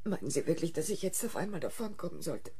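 An actress playing a distraught, haunted wife in a German radio thriller, anguished and halting, sometimes dropping into whispers. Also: female voice, sits low, animated, mellow, a little hiss or hum. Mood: anguished, resigned. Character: distraught, haunted wife who killed her husband.

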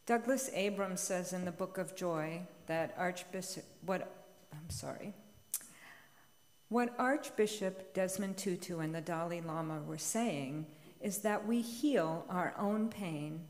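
A woman's voice: lowish voice, slow pace. Middle-aged woman preaching in a reverberant church. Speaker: homilist at a church service. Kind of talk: homily read aloud as a letter. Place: large church sanctuary, at the pulpit. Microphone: gooseneck pulpit microphone. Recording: long hall reverb of church sanctuary.

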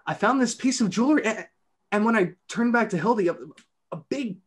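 A man reading the part of an excitable, hesitant younger brother in a film script. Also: high voice, brisk, slightly rough. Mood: anxious, insistent.

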